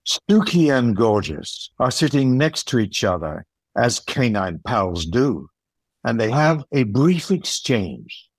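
A man's voice: mid-range voice, very animated, slow, slightly rough, no room sound, exaggerated voice. A man playing the narrator of an audio play about dogs, telling it wryly in scripted storytelling style. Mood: narrating.

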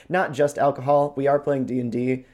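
A man's voice: mid-range voice, little pitch movement, measured pace, a little mellow. A man co-hosting an instructional chat about character voices, explaining things in an informal, conversational way. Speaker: co-host with acting background.

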